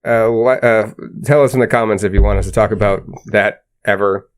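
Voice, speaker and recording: Guy w a lisp doing casual podcast chat, lowish smooth clear voice. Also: fairly even intonation, a little fast. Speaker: podcast co-host.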